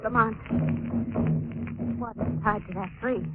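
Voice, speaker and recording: An actress playing a frightened, anxious heroine in a 1940s radio drama, melodramatic and breathless. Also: female voice, fairly high pitch, steady tone, slow, loud music behind the voice. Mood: terrified. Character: frightened, anxious young female companion of the hero. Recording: muffled, faintly hissy old radio recording.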